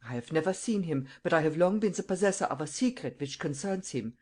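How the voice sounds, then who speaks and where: A reader voicing an excitable, secretive old man with a German accent, who stammers and bursts into high exclamations. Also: a little fast, close to an everyday voice. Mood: explaining.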